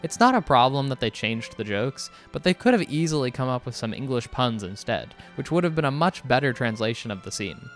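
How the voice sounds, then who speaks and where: A man's voice: medium pitch, big pitch swings, fairly quick, hardly any pauses. A man delivering scripted video essay narration in a critical, wry manner. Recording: music behind the voice. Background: background music.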